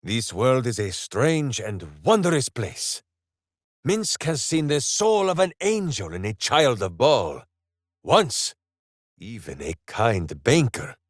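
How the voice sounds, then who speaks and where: A man's voice: medium pitch, big pitch swings, slow pace, no room sound, studio quality. A man voicing a big-hearted, simple-minded heroic warrior in a video game, bombastic and earnest, speaking of himself in the third person. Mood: fond, wondering. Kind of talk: video game character voice acting.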